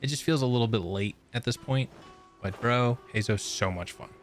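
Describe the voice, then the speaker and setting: A man's voice: mid-range voice, normal speed, soft background music. A young man giving casual, slangy and playful gameplay commentary as a streamer. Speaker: gaming streamer.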